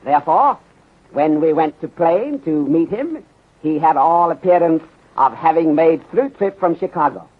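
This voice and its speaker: A courteous, methodical Chinese detective from an old radio serial. He speaks in formal, deliberate, clipped phrases with a slightly raised, husky voice, through a muffled 1930s recording. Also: on the high side, lively intonation. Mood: assured, explaining.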